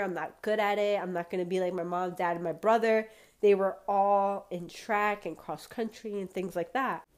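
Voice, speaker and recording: A woman hosts her solo podcast at an unhurried, deliberate pace. Her voice is a little congested and wheezy, and she encourages listeners directly in a warm-hearted motivational tone. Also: medium pitch. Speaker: podcast host.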